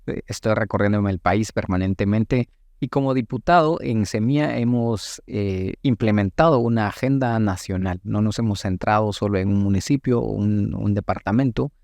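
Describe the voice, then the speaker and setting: A Guatemalan man in his late thirties giving thoughtful, halting answers as a politician being interviewed, with formal wording. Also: fairly low pitch, vocal fry, darkish tone, no echo. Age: late 30s. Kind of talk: reflective interview talk.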